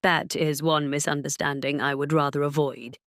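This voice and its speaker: A self-assured nobleman played by a woman narrator with a lowered pitch and a dry, close sound. He speaks formally, with playful, teasing authority, as the duke in a romance audio drama. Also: level tone, normal speed, fairly bright tone, no room sound. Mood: composed, commanding.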